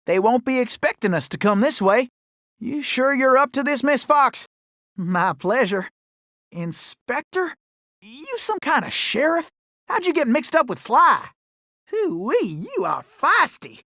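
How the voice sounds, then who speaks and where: A man's voice: very high voice, vocal fry, pushed and bright, no room sound, studio quality, heavily acted. A man voicing a cocky, folksy cowboy raccoon for a cartoon video game, with a drawling American Southern accent. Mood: charming, easygoing, then feisty, amused. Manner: folksy, drawling, boastful, whooping cowboy talk.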